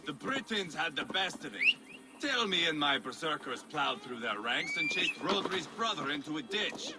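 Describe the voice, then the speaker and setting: An actor playing an unstable, sadistic Viking warrior in a video game, theatrical and crude, with a sneering, taunting manner. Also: male voice, a little high, wildly swooping pitch, moderate pace, very noisy. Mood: gloating. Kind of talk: dramatic game cutscene acting.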